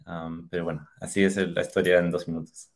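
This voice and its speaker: A man's voice: low-pitched, little pitch movement, a little fast. A man in his thirties from Australia, a native English speaker, giving casual interview answers with an Australian accent. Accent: Australian-accented Spanish. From Australia, Tasmania. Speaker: podcast guest, machine learning engineer. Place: indoors on a video call. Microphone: video call.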